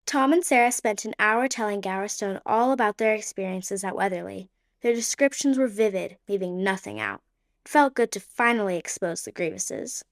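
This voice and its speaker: A woman's voice: mid-range voice, lively intonation, normal speed. A woman narrating a radio play in a plain storytelling manner.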